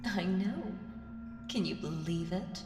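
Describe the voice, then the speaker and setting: Woman playing ancient female evil, lowish pitch, teasing, seductive, condescending, whispery turns, ASMR roleplay, music clearly behind. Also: lively intonation. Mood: conspiratorial, tempting. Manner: slow, teasing, condescending, breaking into breathy whispers. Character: ancient evil female entity, smug, seductive, toying with mortals. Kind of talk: ASMR audio roleplay monologue. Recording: echoey hall reverb, distant, music behind.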